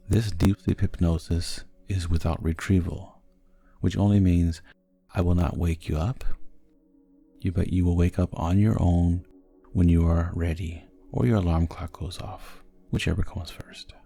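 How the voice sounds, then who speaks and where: A man narrating a guided sleep hypnosis in a soothing, gentle and measured manner. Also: low-pitched, steady tone, vocal fry, slightly rough, soft background music.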